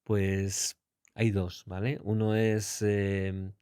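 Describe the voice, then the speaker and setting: A man from Águilas, a guest in a casual podcast interview, talking in a relaxed, reflective way with drawn-out phrases. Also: fairly low pitch, clear, resonant tone, no echo, clean studio sound. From Águilas, Murcia, Spain. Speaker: interview guest, training company founder.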